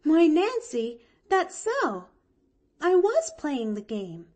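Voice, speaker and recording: Woman acting young girl, high pitch, very lively swoops, cheerful, earnest, chatty, emphatic, storybook character. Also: slow pace, full character voice. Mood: earnest, bright. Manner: bright, eager, emphatic chatter. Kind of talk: expressive audiobook character reading.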